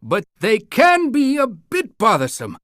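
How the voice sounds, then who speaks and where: A man's voice: extremely high pitch, wildly swooping pitch, a little slow, a bit bright, no echo, studio quality, heavily acted. A man voicing an eager, polite and fussy town-guide creature in a cartoon game, with theatrical announcing and awkward hesitations. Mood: helpful.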